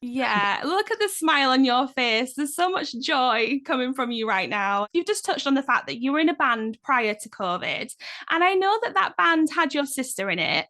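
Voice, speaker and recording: Woman host, high voice, effusive, rambling questions, video call. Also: big pitch swings, moderate pace, pushed and bright. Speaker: interview host. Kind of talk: casual interview.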